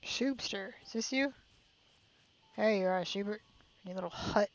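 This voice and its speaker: A woman's voice: mid-range voice, normal speed, lo-fi sound. A woman giving casual live commentary while playing a game, chattily thinking aloud to herself. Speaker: game streamer.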